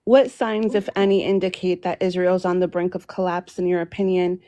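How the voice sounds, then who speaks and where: A woman panelist on a livestream asking a prepared question in a polite, measured way. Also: lowish voice, flat delivery, dark tone, dry recording.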